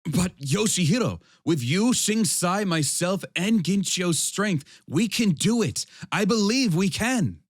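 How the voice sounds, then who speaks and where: Man as high-voiced earnest young warrior. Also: lively intonation, slow, no room sound, clean studio sound, full character voice. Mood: earnest, pleading. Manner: earnest, heroic, respectful. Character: earnest, loyal, idealistic young male warrior. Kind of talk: fan audio drama acting. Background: loud music.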